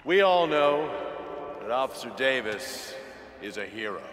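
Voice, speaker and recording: An actor with an American accent playing a brash, self-assured middle-aged city mayor, polished and blunt in a video game. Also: male voice, mid-range voice, lively intonation, unhurried, a crowd in the background, natural voice. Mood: ceremonial.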